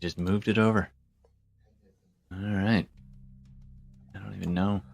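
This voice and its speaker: A man's voice: lowish voice, steady tone, slow pace, gravelly, lo-fi sound, faint music behind. A man chatting informally as game master of an online tabletop game, often muttering to himself as he works. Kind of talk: casual chat while running an online tabletop game.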